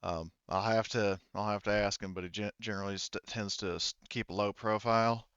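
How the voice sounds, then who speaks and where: A man voicing a small-time drug dealer in a tabletop game, an evasive, folksy underling who talks haltingly in slang. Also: lowish voice, steady tone, a bit bright, smooth, dry recording, muffled recording, pitched below the performer's own voice, lightly acted. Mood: evasive, apologetic.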